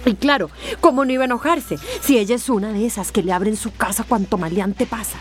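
An actress plays a vengeful female official in a radio drama. Her voice sits fairly high, and she grumbles with indignation over light background music. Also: quick pace, natural voice. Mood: indignant. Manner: indignant, complaining, deferential to boss. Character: spiteful, vengeful female mayor's assistant.

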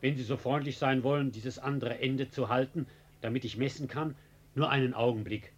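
An actor playing a sly, insolently calm male ex-convict in a German radio play, mock-courteous and ironic, with a husky voice. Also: medium pitch, dull audio, lightly acted. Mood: coolly polite. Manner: mock-courteous, teasing, unhurried irony.

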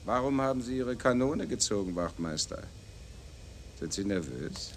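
Radio play casting: male actor as cocky, deep-voiced pimp, glib mockery and theatrical wheedling, faint background noise. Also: animated, moderate pace, lightly acted. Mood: sneering, defiant. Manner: mocking, glib, theatrical pleading. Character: cocky, wheedling pimp pleading with and sneering at police.

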